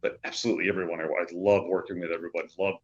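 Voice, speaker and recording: A man's voice: low voice, steady tone, racing, lo-fi sound. A middle-aged man giving casual, effusive interview answers as a guest, often repeating himself for emphasis. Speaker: public address announcer and podcast guest.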